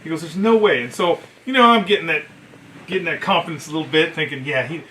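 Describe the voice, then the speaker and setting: Middle-aged American male veteran, forceful and fairly high-voiced, swearing freely through animated anecdotes and quoted dialogue. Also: fast talker, slight background noise, rough recording. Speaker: military veteran telling service stories. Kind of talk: casual anecdotal storytelling.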